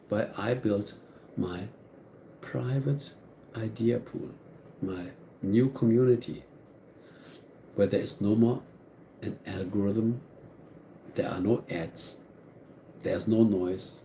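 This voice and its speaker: A man with a German accent speaks slowly and earnestly to his live audience, looping back over his own phrases. His voice sits mid-range, with a soft, mellow tone. A faint hum of background noise lies under it. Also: on the low side. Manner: earnest, repetitive, restarts phrases. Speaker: channel host. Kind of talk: live stream talk to viewers.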